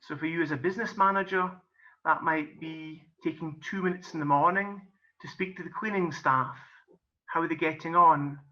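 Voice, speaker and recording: Scottish man presenting in a bright voice. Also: a little high, fairly even intonation, normal speed. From Scotland. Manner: friendly, explanatory, often says "kind of" and "um". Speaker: golf coach and business performance coach. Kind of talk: webinar presentation. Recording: muffled lo-fi webinar audio.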